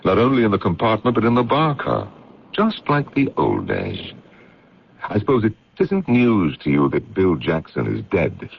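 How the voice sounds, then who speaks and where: Deep-voiced editor, wary and formal, very animated delivery, radio mystery, dull lo-fi sound. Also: male voice, normal speed, gravelly, husky, exaggerated voice. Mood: probing. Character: wary, indignant male magazine editor suspected of murder. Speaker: actor in a radio mystery drama. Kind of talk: old-time radio drama acting.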